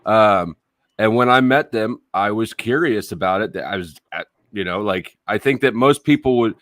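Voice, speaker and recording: A man's voice: fairly low pitch, moderate pace, a bit bright, no echo, studio quality. A man in his thirties on an online voice chat panel, talking bluntly and making crude jokes. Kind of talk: casual group chat.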